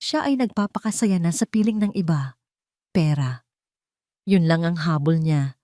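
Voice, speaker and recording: A woman voicing a calm, sorrowful, manipulative older mother, gentle and measured, in an audio drama. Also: fairly low pitch, dry recording, clean studio sound, natural voice. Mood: cold, persuasive.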